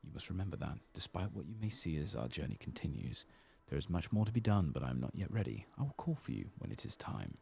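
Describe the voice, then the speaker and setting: A man voicing a solemn, ancient celestial being from a fantasy game, softly recounting a tragic legend in a measured, grave way. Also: very deep voice, sing-song, gravelly, a little hiss or hum, heavily acted. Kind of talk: fantasy game quest reading.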